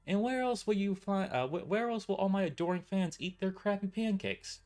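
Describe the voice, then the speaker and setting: A man's voice: high voice, moderate pace, darkish tone, pitched above the performer's own voice. A man voicing a sarcastic, tough young waitress in a raised, mocking voice.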